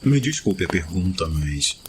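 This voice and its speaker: Low-voiced, gentle male paladin, played by a man. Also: very animated, slight background noise. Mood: sympathetic, careful. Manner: halting, gentle. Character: kind, awkward, remorseful male paladin adventurer. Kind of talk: audio drama acting.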